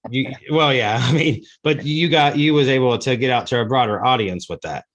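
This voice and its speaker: A man's voice: mid-range voice, normal speed, a bit bright. A man hosting a casual interview over a video call, enthusiastic and folksy with loose grammar. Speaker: interview host.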